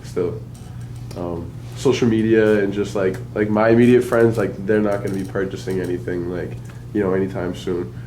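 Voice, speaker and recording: Brief: young low-voiced man, rambling and hesitant, faint background noise. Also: fairly even intonation, normal speed, dark tone, poor audio. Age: 20s. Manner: hesitant, many fillers. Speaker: workshop attendee asking a question. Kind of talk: rambling audience question.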